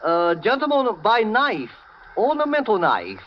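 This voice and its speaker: An actor playing an elderly, hesitant Chinese shopkeeper who speaks halting, broken English in a 1940s radio drama. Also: male voice, sits high, huge pitch swings, heavily acted. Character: elderly Chinese curiosity shop keeper, hesitant and placid. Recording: muffled, faintly noisy old radio recording.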